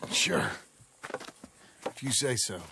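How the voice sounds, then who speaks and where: An actor playing a gruff, blunt, hot-tempered American rancher in a western video game, with a gravelly voice. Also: male voice, takes it slowly, very noisy, natural voice. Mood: gruff, irritated. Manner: blunt, exasperated. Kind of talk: video game dramatic acting.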